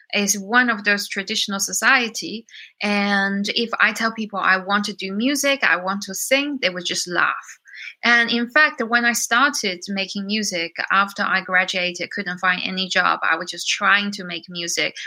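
Woman, very bright forceful voice, Chinese accent with British touches, earnest, expressive, candid interview storytelling. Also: mid-range voice. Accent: Chinese with some British. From China. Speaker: singer-songwriter, interview guest.

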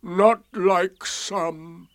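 A man voicing a gloomy old grey donkey in a storybook, with slow, drawn-out, mournful speech. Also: sits high, fairly even intonation, fairly bright tone, dry recording, pitched above the performer's own voice, heavily acted. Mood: glum gratitude.

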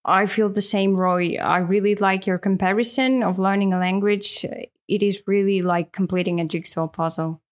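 A woman's voice: medium pitch, steady tone, moderate pace. Friendly woman co-hosting. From Russia. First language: Russian. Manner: friendly, earnest, with frequent "uh" fillers. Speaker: podcast co-host, English teacher. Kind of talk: casual podcast chat.

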